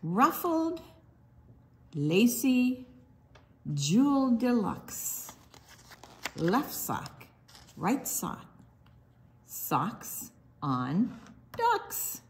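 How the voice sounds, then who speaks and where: An older woman reading a children's picture book aloud in an expressive, deliberate sing-song way, with small asides to her young listeners. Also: fairly high pitch.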